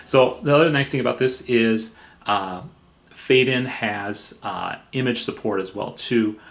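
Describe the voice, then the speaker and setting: A man hosting a software review in a casual, informal way, somewhat halting, with playful repetition for emphasis. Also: mid-range voice, gravelly. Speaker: software reviewer and video host.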